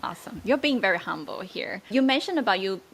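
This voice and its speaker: A woman's voice: mid-range voice, expressive, fairly bright tone, a little hiss or hum, rough recording. Enthusiastic female interviewer, native Mandarin. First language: Mandarin Chinese. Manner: enthusiastic, encouraging, quick reactions. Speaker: podcast co-host and interviewer.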